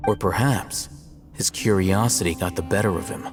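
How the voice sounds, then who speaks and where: An actor playing a cool, detached scholar in a video game, speaking in a measured, formal and deliberate way. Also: male voice, low-pitched, in a large hall, music in the background, natural voice. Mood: detached, grave. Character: cool, analytical, detached male scholar and scribe. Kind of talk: scripted dramatic cutscene dialogue.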